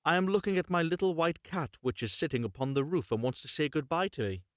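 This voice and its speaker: A man voicing a gentle, protective young brother in a fairy tale, soothing and earnest. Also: on the high side, expressive, quick pace, no echo, clean studio sound, voice pushed up, close to an everyday voice. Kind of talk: storybook character reading.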